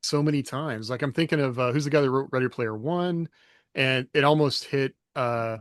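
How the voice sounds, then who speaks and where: A man's voice: medium pitch, moderate pace, dry recording, clean studio sound. A man co-hosting a podcast, easygoing, chuckling and trailing off mid-thought. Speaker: podcast co-host. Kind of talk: casual podcast discussion.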